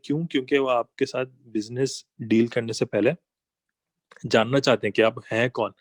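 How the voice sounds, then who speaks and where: A man talks through a business lesson over slides. His tone is conversational, moving from examples to asides as he explains each point. The voice is mid-pitched and recorded close and dry. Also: a little mellow, dry recording. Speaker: online business trainer.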